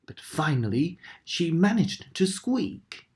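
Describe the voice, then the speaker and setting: A woman reading a children's storybook aloud with expressive, dramatic narration that sometimes drops into a whisper. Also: lowish voice.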